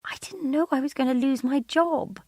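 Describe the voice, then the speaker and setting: A woman voicing an anxious, apologetic and easily overwhelmed woman in an audiobook story, pleading and halting. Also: lowish voice, a little mellow, no echo, clean studio sound. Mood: defensive, upset. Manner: pleading, halting, self-excusing.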